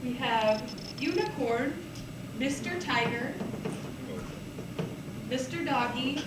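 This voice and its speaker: A woman's voice: high voice, slow pace. A woman voicing a silly, perky pig puppet that gives business presentations in an amateur puppet show. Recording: echoey, distant microphone, loud background noise.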